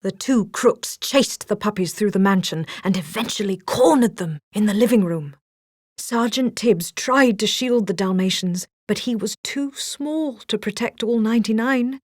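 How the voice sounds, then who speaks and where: Lady reading a kids storybook out loud, expressive, mid pitch, really dry recording. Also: moderate pace, dry recording. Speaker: storybook narrator. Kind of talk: scripted children's read-along narration.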